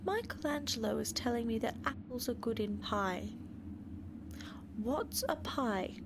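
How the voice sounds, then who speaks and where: Starving, dreamy horse: breathy, high-ish, wistful radio-comedy rambler over a noisy soundscape. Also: female voice, expressive, measured pace. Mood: wan, wistful. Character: frail, starving, dreamy famine horse, naive. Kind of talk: comic radio play acting.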